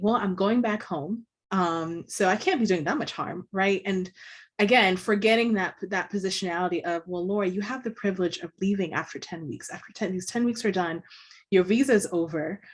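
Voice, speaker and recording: A young woman from Tanzania speaking on a panel, talking in a thoughtful, earnest and reflective way. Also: lowish voice. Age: young adult. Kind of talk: panel discussion.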